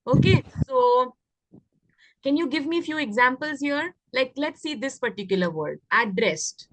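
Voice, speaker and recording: A woman with an Indian accent teaches a grammar class to children. Her voice sits fairly high, and she explains step by step, often stopping to ask if it is understood. The sound is close and free of room echo. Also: lively intonation, normal speed. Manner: explanatory, checks understanding with frequent questions. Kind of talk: interactive English grammar lesson for children.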